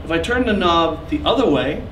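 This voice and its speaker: A man's voice: on the high side, animated, moderate pace, a bit bright. A man in his thirties running a live recording workshop, explaining gear and joking with his audience. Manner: chatty, joking with the audience, gesturing while explaining. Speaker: recording clinic instructor. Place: small classroom with seated audience. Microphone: camera at the back of the room. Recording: echoey room heard from far off, noisy.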